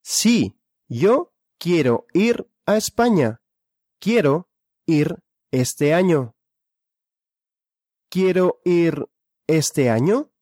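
A speaker leading a question-and-answer language drill. The speaker asks each question, then answers it deliberately. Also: medium pitch, expressive, dark tone, no room sound, studio quality.

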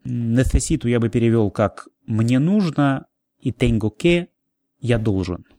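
A man's voice: mid-range voice, takes it slowly, mellow, no echo, clean studio sound. A man narrating a language lesson as the teacher. He explains new words, then repeats and translates them.

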